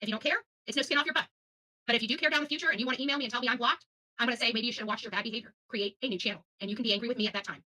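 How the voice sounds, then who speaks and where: A middle-aged woman speaks off the cuff to her online audience at a very rapid clip. Her voice is fairly high, with a bright, forceful edge. She is blunt and combative, and salty in her wording. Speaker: host of an online channel. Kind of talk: unscripted commentary addressed to her audience.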